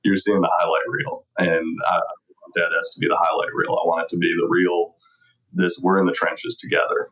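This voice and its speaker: A man's voice: deep voice, level tone, moderate pace, a bit bright, a little hiss or hum, low-quality recording. A middle-aged man answering conversationally as an interview guest, earnest and reflective. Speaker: podcast guest, lawyer.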